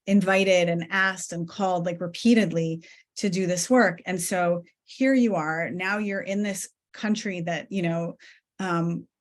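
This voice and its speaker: A woman's voice: on the low side, steady tone, a little slow. A woman in her thirties from Iran, hosting a video call interview in an affirming, conversational way with many "like"s. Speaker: podcast host. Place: home room, indoors.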